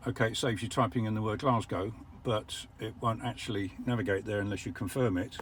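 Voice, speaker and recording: An older English man explaining how an electric car works from the driver's seat, measured and a little wry. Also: lowish voice, fairly even intonation, slight background noise. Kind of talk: casual vlog commentary. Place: parked car.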